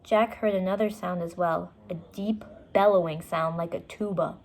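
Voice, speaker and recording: A woman reading a children's story aloud, with expressive, deliberate and dramatic narration. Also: fairly low pitch.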